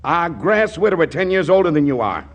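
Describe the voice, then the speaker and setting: A man's voice: a little high, big pitch swings, brisk, a little hiss or hum. An actor playing a stern, exasperated businessman father in 1940s radio comedy style, blustery and sarcastic, on a muffled old recording.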